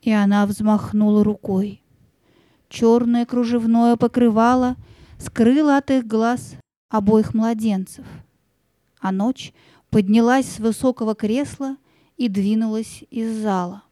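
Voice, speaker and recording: A woman narrator tells a scripted fairy tale. Her voice is soft-toned and a little high, in a dry, close recording. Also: fairly even intonation, slow, no room sound.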